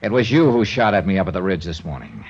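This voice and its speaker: Golden-age radio lead: tough, wry American investigator, animated delivery, confident and clipped, muffled vintage sound. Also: male voice, deep voice, quick pace, breathy edge, exaggerated voice. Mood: wry, knowing. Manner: punchy, hard-boiled, sardonic. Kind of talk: 1950s radio acting and first-person narration. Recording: dull old radio recording with music.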